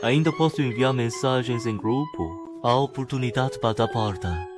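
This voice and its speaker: Young male schemer actor, medium pitch, cocky and dramatic inner monologue, motion-comic dub with prominent music. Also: normal speed, natural voice. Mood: scheming. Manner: dramatic, self-talking, scheming. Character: cocky, scheming young male failed writer turned cultivator. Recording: music clearly behind voice.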